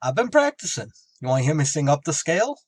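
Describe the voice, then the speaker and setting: A dopey, dim-witted tavern regular, played by a man in an old-time radio comedy. He speaks in a slow, thick drawl, and his pitch swings widely. The sound is dry and a little off the mic. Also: sing-song, slightly rough, no room sound.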